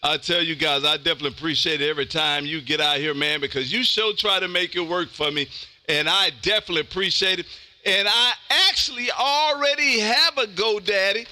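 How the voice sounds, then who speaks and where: An American man hosting a live amateur radio stream, loud and exuberant, repeating himself for hype as he chats and calls stations. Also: sits high, brisk. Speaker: amateur radio livestream host.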